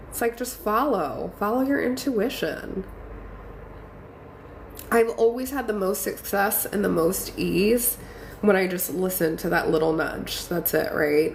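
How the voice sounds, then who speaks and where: Woman, stuffy nose, gravelly voice, affirming live-stream coach, echoey room with faint noise. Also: lowish voice. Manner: encouraging, affirming, chatty with fillers. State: congested from a cold. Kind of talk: casual live-stream talk reading viewer comments.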